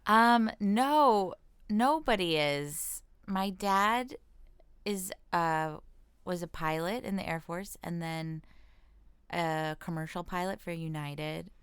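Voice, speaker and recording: A woman's voice: fairly low pitch, takes it slowly, clean tone, no room sound. An American woman chatting casually as an interview guest, informal and self-deprecating, thinking aloud as she goes. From Washington state, United States. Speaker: comedian and actress, interview guest. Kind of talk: casual chat.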